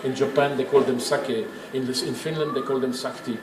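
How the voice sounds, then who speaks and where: A man's voice: mid-range voice, steady tone, a little fast. Guy giving a brewery tour, really opinionated and teachy, echoey room w noise behind him. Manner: emphatic, opinionated, didactic. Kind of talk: informal guided tour explanation. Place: indoors in a working brewery.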